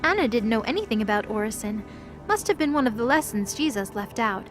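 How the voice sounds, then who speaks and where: A woman's voice: fairly high pitch, animated, fairly quick, faint music behind, natural voice. A woman narrator reading scripted third-person narration for an audio drama.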